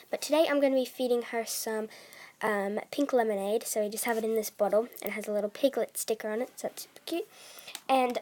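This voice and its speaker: A teenage girl chatting casually in a vlog, soft-spoken, with many fillers, and often trailing off into a whisper. She has a bad cough. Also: on the high side. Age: teens. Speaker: doll collector vlogger. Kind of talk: casual chat.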